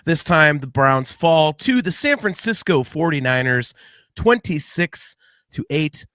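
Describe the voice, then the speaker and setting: Male sports podcast host, slightly high voice, dry close sound, casual monologue, blunt opinions, halting repeats. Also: big pitch swings, a bit bright, dry recording. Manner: blunt, opinionated, mildly profane.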